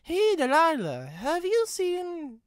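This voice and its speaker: A woman's voice: very high voice, wildly swooping pitch, takes it slowly, dry recording, clean studio sound, pitched above the performer's own voice, heavily acted. A narrator doing a frantic young woman fireman. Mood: worried. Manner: urgent, shaken. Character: young woman train fireman, loyal, panicky.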